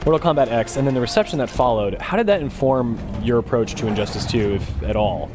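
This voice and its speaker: A man interviews in a casual style at a quick pace. His voice is medium in pitch. Music is clearly heard behind him. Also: expressive.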